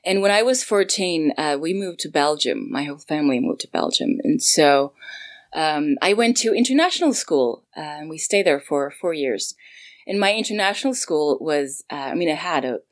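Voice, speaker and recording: Low-voiced Swedish-born woman, laughing guest. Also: normal speed. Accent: North American-sounding English learned at international school. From Sweden. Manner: laughs often, animated, many fillers. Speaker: audio drama writer and actor, guest. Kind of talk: casual interview chat.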